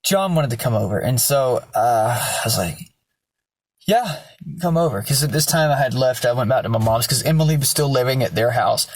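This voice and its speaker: Hoarse young guy vlogging at home, loose and rambling, swears a lot. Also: mid-range voice, animated, fairly quick, no echo. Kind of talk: casual storytelling vlog. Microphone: self-filmed camera.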